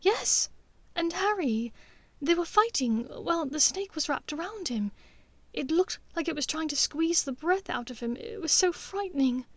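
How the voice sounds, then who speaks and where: A woman's voice: high-pitched, lively intonation, unhurried, dry recording, voice pushed up. A woman voicing a frightened, guilt-ridden young witch in an audiobook, with a breathless, plaintive delivery. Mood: shaken, frightened. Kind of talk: audiobook character voice.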